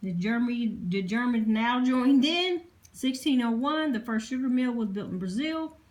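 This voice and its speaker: A woman presenting history informally, reading from notes in a halting way and spelling out difficult words. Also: a little high, expressive, darkish tone. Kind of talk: informal lecture reading dated notes aloud.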